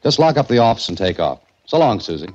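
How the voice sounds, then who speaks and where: An actor playing a wry, tough young adventurer in a 1940s radio drama, with dry wisecracks. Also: male voice, medium pitch, sing-song, fast talker, close to an everyday voice. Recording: lo-fi old radio recording with music.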